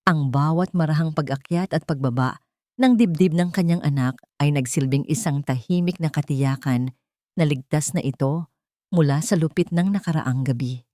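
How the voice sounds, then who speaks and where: Low-voiced woman narrating solemn drama. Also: moderate pace, mellow, no echo, clean studio sound. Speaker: story narrator. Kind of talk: dramatic scripted narration of a love story.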